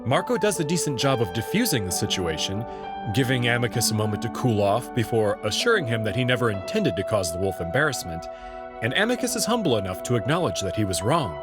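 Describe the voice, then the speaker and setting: A man delivering scripted narration and commentary in an expressive, articulate storytelling manner. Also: mid-range voice, quick pace, loud music behind the voice. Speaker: narrator and host.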